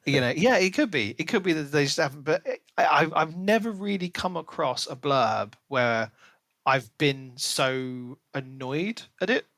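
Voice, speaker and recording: Guy podcast host chatting about books, slightly high voice, animated asides, dry close sound. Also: unhurried, dry recording. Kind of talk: casual book review discussion.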